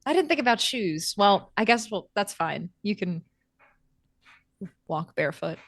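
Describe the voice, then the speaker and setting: A woman voicing a flustered, well-meaning young female vampire in a tabletop game, explaining haltingly and apologetically. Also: medium pitch, sing-song, a bit bright, no echo, studio quality, full character voice. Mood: awkward, patient.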